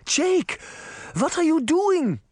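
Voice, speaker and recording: A man's voice: fairly high pitch, very animated, unhurried, no room sound, studio quality, voice pushed up. A man voicing an elderly, wise and anxious grandmother in a storybook, earnest and lamenting. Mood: distressed.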